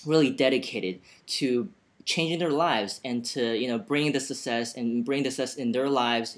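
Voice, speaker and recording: A man whose first language is Chinese, co-hosting a seminar promo over a video call and talking earnestly with many restarts and fillers. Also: mid-range voice. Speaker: seminar co-host.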